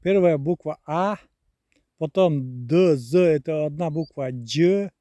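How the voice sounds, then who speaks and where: A man's voice: fairly high pitch, slow, dark tone, dry recording. A man on a casual live stream outdoors in the countryside, reading chat aloud and spelling out words letter by letter. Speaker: live streamer from a rural village. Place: outdoors in the countryside near a river, on the move.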